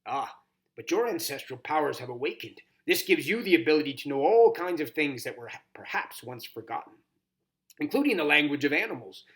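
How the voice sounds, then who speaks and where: A man voicing a kindly, formal horned toad mentor in a storybook, with a grumbly, muffled, croaky voice and courtly manners. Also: fairly high pitch, sing-song, fairly quick, a bit bright. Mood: courteous. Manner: courtly, old-fashioned, ceremonious phrasing.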